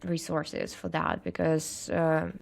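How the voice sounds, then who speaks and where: A woman's voice: low-pitched, big pitch swings, slow pace, creaky. A young adult woman from Russia with a Russian accent, chatting casually as a podcast guest, hesitant with lots of fillers and frequent laughs. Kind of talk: casual interview chat.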